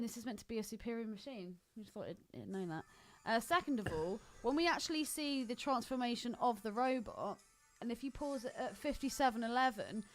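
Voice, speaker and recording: A woman co-hosting a podcast, casually recapping a film and critiquing it with blunt opinions. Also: a little high. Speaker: podcast co-host.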